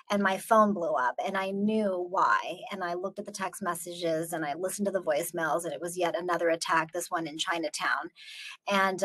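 A middle-aged American woman speaking in a measured, reflective way as a guest speaker answering questions on a video call. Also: fairly low pitch, a bit bright, poor audio. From United States. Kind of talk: earnest talk answering audience questions.

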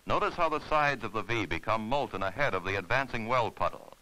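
A man's voice: lowish voice, animated, brisk, bright, forceful tone, rough recording. A man narrating an instructional film in a formal, scripted, step-by-step way.

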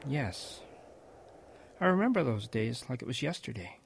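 An actor playing a kindly old grandfather with a creaky, husky voice, telling wartime memories gently and with animation in a radio drama. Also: medium pitch, sing-song, normal speed, a little hiss or hum. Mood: animated reminiscing.

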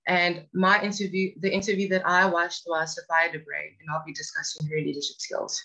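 A young man student from Johannesburg introducing himself on a video call, a little halting. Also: on the high side, level tone, gravelly, sharp tone, low-quality recording. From Johannesburg, South Africa. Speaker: student panelist.

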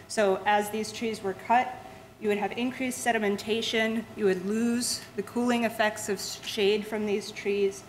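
A woman delivers a museum talk to an audience, her voice at an ordinary middle pitch. She explains step by step, often stopping to restart a word. A faint room noise runs under her voice. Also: a little slow, poor audio. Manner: steady explanatory pace with frequent restarts and fillers. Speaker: museum lecturer. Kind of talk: public science lecture with slides. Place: lecture room.

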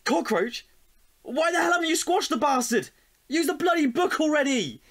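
Exasperated, sarcastic housemate, very animated. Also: male voice, extremely high pitch, normal speed, no echo, clean studio sound. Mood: incredulous. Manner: sarcastic, incredulous. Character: exasperated, scornful, sweary young male housemate. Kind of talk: audio drama acting.

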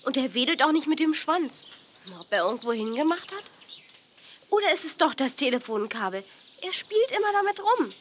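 A woman playing an eager, naive, soft-hearted girl in a children's audio play. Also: high voice, sing-song, moderate pace. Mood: curious. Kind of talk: 1980s German children's audio play acting. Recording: faint noise, old cassette audio play.

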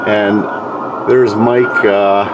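A man's voice: on the low side, very slow, highs cut off. A man working as an amateur radio operator, narrating his contacts indoors into a camcorder and repeating words and call signs. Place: indoors, room with radio computer station. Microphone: camcorder held or set near the desk. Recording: steady background noise, radio hiss. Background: radio noise.

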